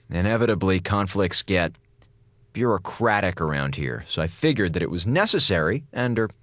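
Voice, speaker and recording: An actor playing a wisecracking, insubordinate American communications officer on a space station in a radio drama, with a glib, theatrical manner. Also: male voice, mid-range voice, fairly bright tone, no room sound, clean studio sound, natural voice. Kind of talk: radio drama log narration and comic dialogue.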